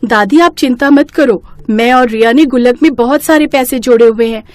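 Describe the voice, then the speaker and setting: A woman's voice: a little high, moderate pace, a little mellow, no echo, lightly acted. A woman voicing a caring, polite young girl in an earnest, reassuring storybook manner. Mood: reassuring, eager. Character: young twin granddaughters, eager, caring, polite little girls. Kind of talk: storybook character acting.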